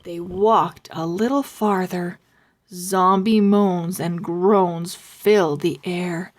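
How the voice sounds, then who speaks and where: A woman reading a children's story aloud as narrator, expressive and full of suspense. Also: lowish voice, slow pace. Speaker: storybook reader.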